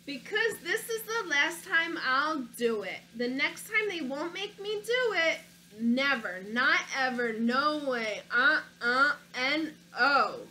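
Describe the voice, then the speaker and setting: A woman teacher reading a picture book aloud to children, with an expressive storytelling delivery, stopping to ask her young listeners questions. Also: sits high, takes it slowly, a little hiss or hum, rough recording.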